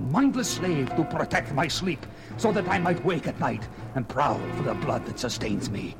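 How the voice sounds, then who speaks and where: A pompous vampire lord, super high pitched, swoopy and menacing, horror radio play with loud music. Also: male voice. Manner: pompous, theatrical declaiming. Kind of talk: melodramatic horror audio drama acting. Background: dramatic music.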